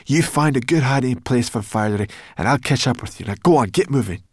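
A man's voice: mid-range voice, animated, fairly quick, dry recording, studio quality, pitched above the performer's own voice, natural voice. A man voicing a feisty, bossy young female brownie creature in a storybook, brash and impatient. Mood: brisk, commanding.

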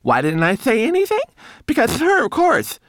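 A tiny storybook homunculus manservant, pitched well above the reader's natural voice. He is timid yet indignant, formal and prone to stammering, with a squeal in his protests. The sound is close and dry. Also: huge pitch swings, normal speed, fairly bright tone, no echo, full character voice. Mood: aggrieved, fearful.

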